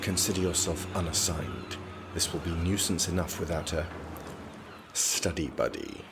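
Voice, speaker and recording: Casting: actor for reserved, exasperated young prince, very low pitch, clipped formal delivery, audio drama, clear noise behind. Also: male voice, moderate pace, lightly acted. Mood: indignant.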